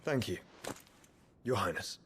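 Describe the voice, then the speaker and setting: An actor playing a humble, earnest hero in a fantasy game, courteous and subdued. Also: male voice, fairly low pitch, lively intonation, slow pace, fairly bright tone, slight background noise. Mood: subdued, sincere. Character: humble, earnest heroic man, courteous to royalty.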